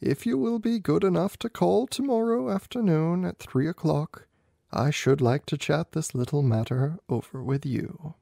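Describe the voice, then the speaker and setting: A man voicing a keen, unflappable gentleman detective in a storybook reading, with brisk, inquisitive, clipped questions. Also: a little high, vocal fry, no room sound, clean studio sound. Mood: brisk, courteous. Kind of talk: amateur audiobook character reading.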